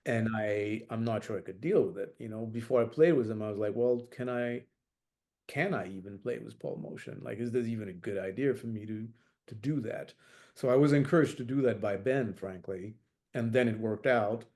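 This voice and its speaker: A man's voice: fairly low pitch, moderate pace, warm tone. A middle-aged man from France, a jazz saxophonist as interview guest, talking thoughtfully with many fillers and restarts. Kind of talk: reflective interview talk.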